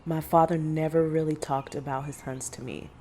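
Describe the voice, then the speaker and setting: A woman performs a middle-aged monster hunter in an audio drama. Her voice sits low as she recounts horrors in a grave, measured way. Faint noise runs under the recording. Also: fairly even intonation, mellow. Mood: reflective.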